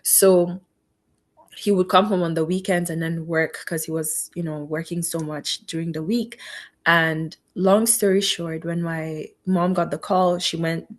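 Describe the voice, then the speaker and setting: Middle-aged woman, physician guest, earnest and faith-filled storytelling, low-pitched. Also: steady tone, unhurried. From Cameroon. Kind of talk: personal story in an interview.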